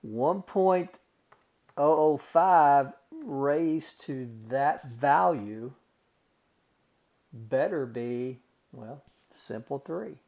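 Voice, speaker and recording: Gravelly-voiced man teaching math. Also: medium pitch, extremely slow, a little mellow. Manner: folksy, talks to students as guys and gang; talks through calculations step by step. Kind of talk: recorded math lesson.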